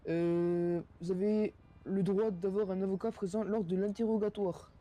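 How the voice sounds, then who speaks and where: A man improvising as a police officer in an online role-play game, speaking in a halting, formal way. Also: sits high, steady tone, warm tone.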